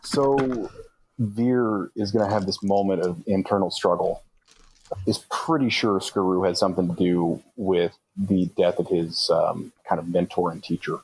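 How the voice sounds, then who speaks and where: Husky, lowish man at the game table. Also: fairly even intonation, unhurried, darkish tone, dry recording, low-quality recording. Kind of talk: casual game-table chat.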